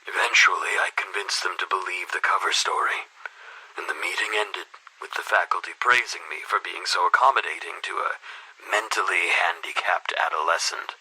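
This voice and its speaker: An actor playing an anxious, secretive middle-aged headmaster in a video game, with a breathy, rough voice and formal, deliberate speech. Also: male voice, deep voice, fairly even intonation, bright, forceful tone, exaggerated voice, rough recording. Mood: uneasy, confiding. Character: anxious, secretive, self-serving male high school headmaster. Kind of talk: dramatic game voice lines and confessional monologue.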